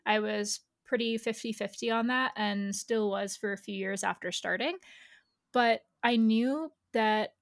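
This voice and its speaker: A woman's voice: mid-range voice, a little slow, creaky. A woman from the United States speaking as an interview guest, telling personal stories in a chatty, explanatory way. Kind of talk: casual personal storytelling.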